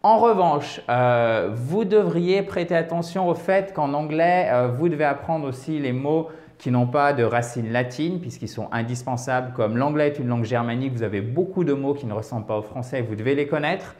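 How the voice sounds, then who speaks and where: A man from France giving an online English lesson, explaining step by step with examples and checking in often with quick "ok?" and "d'accord?" asides. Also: medium pitch, darkish tone, echoey room. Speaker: English teacher.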